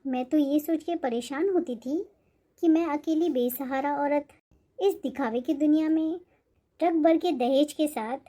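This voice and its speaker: A humble cartoon mother voiced by a woman speaks in a high voice. She is tender and earnest. Her manner is grateful and self-sacrificing. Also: warm tone, close to an everyday voice. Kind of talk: Hindi cartoon moral-story voice acting.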